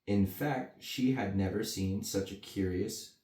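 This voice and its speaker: A man's voice: deep voice, measured pace, dark tone. A man reading a bedtime story to children with casual asides. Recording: roomy echo, mic at a distance.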